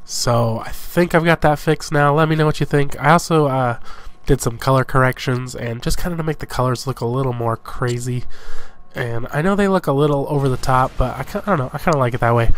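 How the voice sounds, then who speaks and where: A man's voice: medium pitch, smooth. Congested young man chatting over gameplay. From southern Idaho. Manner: rambling, chatty, with fillers and laughs. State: congested from a cold. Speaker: gaming YouTuber. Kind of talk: casual commentary over gameplay.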